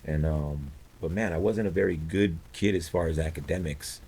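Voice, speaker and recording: A middle-aged man with a low, rich voice, chatting and telling stories in a slangy, joking way as a podcast guest. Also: moderate pace, a little mellow, breathy edge. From East Los Angeles, United States. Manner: slangy, joking, says bro often. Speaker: podcast guest, artist and veteran. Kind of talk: casual chat, storytelling.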